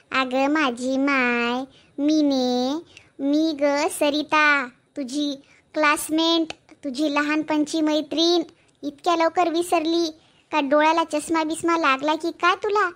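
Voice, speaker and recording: A woman voicing a village woman who teases an old friend in slow, drawn-out speech. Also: high-pitched, steady tone, a bit bright. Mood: teasing.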